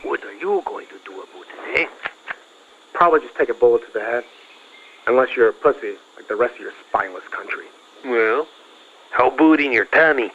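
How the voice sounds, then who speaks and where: A man's voice: mid-range voice, animated, a little fast, long pauses, lightly acted. A man playing a mocking, threatening villain in an audio drama, heard on a secretly made tape. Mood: taunting menace. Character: menacing, taunting male villain caught on tape. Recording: muffled, noisy secret tape recording.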